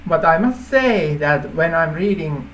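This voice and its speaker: Male presenter, native Finnish speaker with Finnish accent; unhurried, hesitant explaining, light noise behind. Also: a little high, expressive, a bit bright, rough recording. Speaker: app developer presenting a feature idea. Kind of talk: informal explainer to camera.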